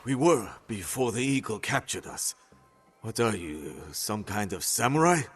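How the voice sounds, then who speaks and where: An actor playing a wary freed raider captive in a video game, guarded and terse. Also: male voice, fairly low pitch, big pitch swings, a little slow, slightly rough, soft background music, full character voice. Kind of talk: dramatic video game acting.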